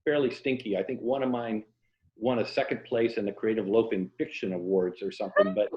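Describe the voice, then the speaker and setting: A man's voice: lowish voice, fairly even intonation, brisk, darkish tone. An American man speaking reflectively and anecdotally in a casual interview, with a self-deprecating way of talking about his writing. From Florida, USA. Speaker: interview guest, fiction writer and former journalist. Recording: echoey room, slightly dull lo-fi audio.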